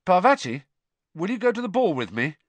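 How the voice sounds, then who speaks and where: A man's voice: high-pitched, big pitch swings, brisk, dry recording, voice pushed up. A man voicing an awkward, earnest teenage schoolboy with a direct, understated manner in a storybook. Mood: nervous, hopeful.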